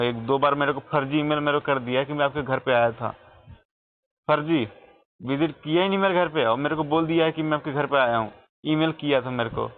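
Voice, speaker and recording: A man's voice: medium pitch, a little hiss or hum. An Indian man making a complaint as a customer on a phone call, speaking in an insistent, repetitive, aggrieved way. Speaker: customer calling support with a complaint. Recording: tinny phone call recording.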